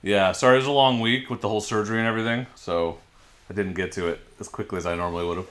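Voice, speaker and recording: A man in his forties with a lowish voice hosts a relaxed livestream from his garage. He chats easily and reads out viewer comments as he answers them, close to a desk microphone. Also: very fast. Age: 40s. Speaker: livestream host. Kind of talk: casual livestream chat. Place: home garage.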